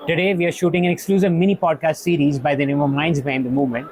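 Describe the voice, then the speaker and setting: A man's voice: fairly high pitch, level tone, very fast, a little mellow, slight background noise. Male podcast host at a trade show. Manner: brief, scripted intro then short prompts. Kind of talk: interview questions. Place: exhibition hall.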